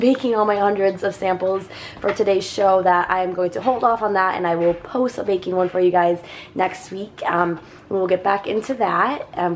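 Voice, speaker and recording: A woman's voice: mid-range voice, slight background noise. A young woman in her twenties chatting casually in a food blog vlog, upbeat and full of "um"s, filmed on a phone at arm's length in her apartment. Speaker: food blogger. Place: small apartment, indoors. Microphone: phone camera held at arm's length.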